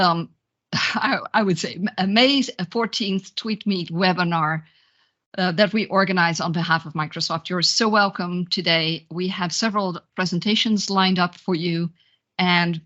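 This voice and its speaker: Woman hosting a webinar, muffled low-fi call. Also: pushed and bright. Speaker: webinar host. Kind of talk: welcoming event introduction, semi-scripted. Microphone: video call. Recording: dull, lo-fi video call audio.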